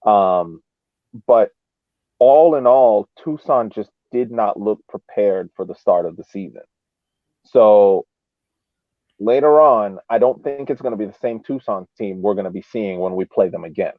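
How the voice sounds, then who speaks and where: Male podcast pundit, lowish voice, thoughtful stop-start casual analysis, tinny phone-quality audio. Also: lively intonation, unhurried, dry recording. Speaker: soccer podcast co-host. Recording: thin phone-like line.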